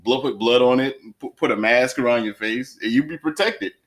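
A man's voice: mid-range voice, a little fast. A man hosting a podcast, joking around and laughing often in loose, rambling chat. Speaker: podcast host.

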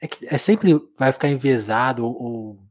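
A man's voice: mid-range voice, moderate pace, darkish tone. A man interviewing a musician, asking long rambling questions that he keeps rephrasing.

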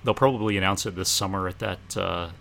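A man co-hosting a podcast, giving measured opinions on films in casual conversation. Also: deep voice, creaky, a bit bright. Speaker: podcast co-host. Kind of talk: casual chat about films.

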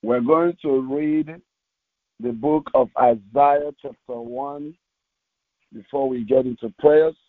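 A man preaching as a pastor, reading scripture aloud in a solemn, deliberate way with short halting phrases, over a narrow phone-like recording. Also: mid-range voice, fairly even intonation, no room sound. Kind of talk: devotional sermon with slow scripture reading aloud. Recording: tinny, phone-like narrowband audio.

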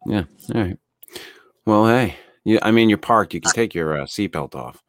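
Low-voiced man in casual, crude podcast banter. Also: expressive, moderate pace, dry recording, studio quality. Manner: laid-back, swears freely, lots of fillers. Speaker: podcast co-host.

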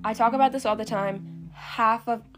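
A woman's voice: a little high, fairly even intonation, moderate pace. A woman hosting a solo podcast, talking in a casual, chatty, informal way with frequent filler words. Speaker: podcast host. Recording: loud guitar music behind the voice. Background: plucked guitar music.

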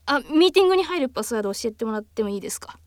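A woman's voice: a little high, expressive, rapid-fire, dry recording, close to an everyday voice. A woman playing a polite, apologetic junior office worker in a naturalistic radio drama, hesitant and deferential. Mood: apologetic, subdued.

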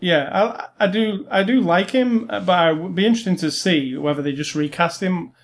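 A man with a slightly high-pitched voice talks as the co-host of a film review. His delivery is casual and informal, and he states his opinions bluntly. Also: fairly quick.

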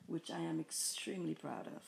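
A woman with a Haitian accent, a mother and teacher, giving earnest, measured answers in a family interview. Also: low voice, creaky, a little hiss or hum, rough recording. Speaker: mother, high school teacher. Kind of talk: reading prepared interview answers.

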